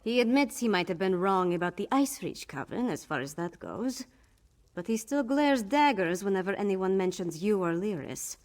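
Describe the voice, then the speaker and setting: A woman playing a brisk, determined young noblewoman in a fantasy video game, speaking frankly and in a businesslike way. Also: mid-range voice, animated, darkish tone, natural voice. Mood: wry, determined. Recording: game music behind the voice.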